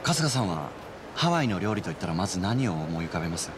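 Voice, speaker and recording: An actor playing a polite, helpful young man in a video game cutscene, speaking in a courteous, measured, formal way. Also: deep voice, sing-song, background noise. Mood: polite, friendly.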